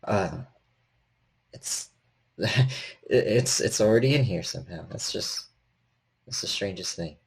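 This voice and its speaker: Man acting weary, halting handyman, low and gravelly. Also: exaggerated voice. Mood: bewildered. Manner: hesitant, halting, stammering. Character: nervous, weary man doing repairs, cautious and helpful.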